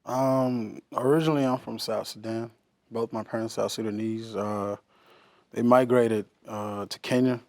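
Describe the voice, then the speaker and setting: A young man who grew up in Australia, a college basketball player answering interview questions haltingly, with many fillers. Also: lowish voice, fairly even intonation, darkish tone, dry recording. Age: young adult.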